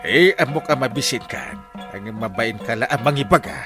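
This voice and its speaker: An actor with an Ilocano accent playing a hungry, joking middle-aged compadre in a radio comedy, laughing and teasing often. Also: male voice, medium pitch, expressive, normal speed, loud music behind the voice, natural voice. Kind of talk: 1950s-style radio comedy acting.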